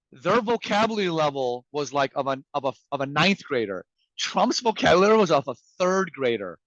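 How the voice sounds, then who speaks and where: Energetic man with Farsi roots, dry sound. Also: a little high, no room sound, highs cut off. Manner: energetic, emphatic, acts out quoted messages. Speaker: guest speaker. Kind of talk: motivational sales presentation.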